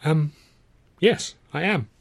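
A man's voice: on the high side, slow pace, vocal fry, dry recording, studio quality, voice pushed up, exaggerated voice. A man voicing a bewildered, polite young man in an audiobook, hesitant and stuttering. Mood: flustered. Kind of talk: audiobook character voice.

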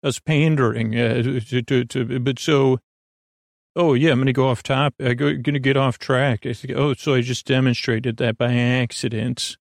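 Creaky-voiced man, rambling sleep podcast host. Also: mid-range voice, steady tone, normal speed, no echo, studio quality. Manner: meandering, hesitant, trailing off into tangents. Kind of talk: rambling monologue to help listeners sleep.

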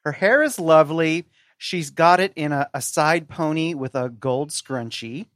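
A man's voice: a little high, unhurried, fairly bright tone, no echo. Guy guesting on a podcast, wry and emphatic, casual, very dry sound. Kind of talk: casual chat.

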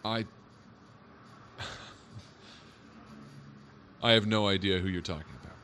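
Actor as a low-voiced skeptical cop. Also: male voice, fairly even intonation, slow, fairly bright tone, slight background noise. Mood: placating. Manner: doubting, questioning, casually hesitant. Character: skeptical male police officer, wry and placating. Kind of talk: audio drama acting, naturalistic.

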